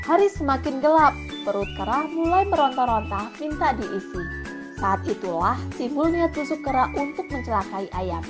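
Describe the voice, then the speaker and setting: A woman narrating a scripted children's fable as an expressive storyteller. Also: high voice, moderate pace. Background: children's background music.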